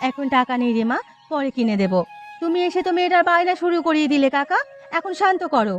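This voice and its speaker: Woman playing a high-voiced chiding village mother. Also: lively intonation, quick pace, muffled recording. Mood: scolding. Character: brisk, scolding but caring village mother. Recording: music under the voice.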